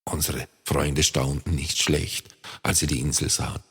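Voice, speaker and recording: A man narrating a children's story in a lively manner, speaking to young listeners. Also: very deep voice, measured pace, slightly rough. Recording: long reverb.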